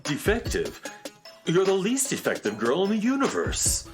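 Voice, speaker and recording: A woman's voice: on the low side, wildly swooping pitch, normal speed. A performer voicing a fussy, well-meaning space handyman in a children's radio drama, talking earnestly. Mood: flustered. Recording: music playing behind.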